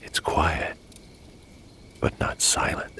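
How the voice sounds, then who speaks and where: A man narrating a scripted bedtime story in a breathy, near-whispered, hushed and lingering way. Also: slow pace, slight background noise.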